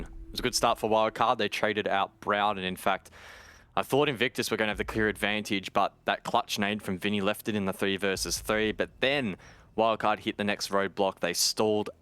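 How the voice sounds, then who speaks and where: Deep-voiced man calling esports play-by-play. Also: fairly even intonation, pushed and bright, clean tone, dry recording. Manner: brisk, rises in pitch at key plays. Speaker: esports caster. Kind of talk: play-by-play commentary.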